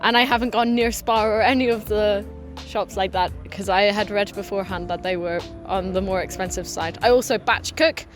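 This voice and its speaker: A young woman from Ireland, an exchange student giving measured, articulate interview answers. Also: mid-range voice, faint music behind. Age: young adult.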